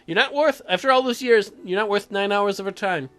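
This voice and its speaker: A man's voice: high voice, fairly quick, fairly bright tone, faint music behind. A man hosting a let's play, mixing sarcastic, mocking asides with read-aloud narration of a visual novel.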